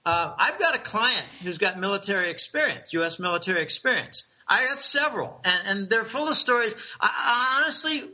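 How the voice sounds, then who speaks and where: A man's voice: high-pitched, expressive, a little fast, hardly any pauses, sharp tone, poor audio. A middle-aged man casually telling rambling, conspiratorial stories, chuckling and restarting his sentences.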